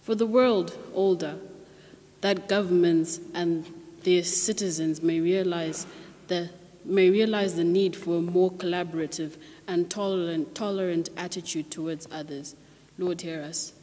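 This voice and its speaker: A woman's voice: fairly low pitch, steady tone, measured pace, mellow. A teenage female student reading prayers of intercession aloud, carefully and formally. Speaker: reader of intercessions. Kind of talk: read-aloud liturgical prayer. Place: chapel lectern. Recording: reverberant chapel, faint background noise.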